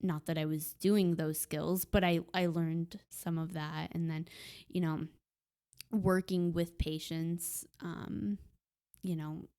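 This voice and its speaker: Young woman chatting on a podcast, lowish voice, lots of ums. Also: level tone, slow, clean tone. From Puerto Rican heritage. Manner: soft-spoken, hesitant with many ums. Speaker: podcast guest. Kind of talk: casual conversation.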